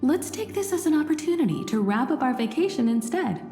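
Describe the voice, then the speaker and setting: Brief: mellow, composed mature woman, lively pitch, echoey game cutscene. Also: fairly quick. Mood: calm. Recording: echoey reverb with game music.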